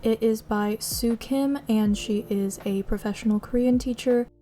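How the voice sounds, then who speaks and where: A woman's voice: a little high, fairly even intonation, normal speed. A young woman whose first language is Mandarin, chatting casually in a vlog voice-over about how she studies, with plenty of likes and ums. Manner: chatty, self-deprecating, lots of likes and ums. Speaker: vlogger teaching her own study method. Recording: soft music behind.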